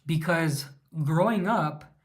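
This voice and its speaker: A man's voice: on the high side, takes it slowly. A man from Southern California with a Mexican American East Los Angeles accent, casually chatting on his livestream, rambling, restarting words and greeting viewers by name. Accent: Mexican American English from East Los Angeles. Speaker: livestream host.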